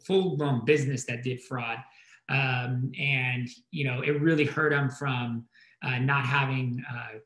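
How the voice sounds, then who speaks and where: A man's voice: mid-range voice, fairly bright tone. A man in his thirties answering conversationally as a business panelist, rambling and hesitant, over a video call. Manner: hesitant, filler-heavy, rambling. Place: indoor room at home or office, on a video call. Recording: roomy, echoey video call.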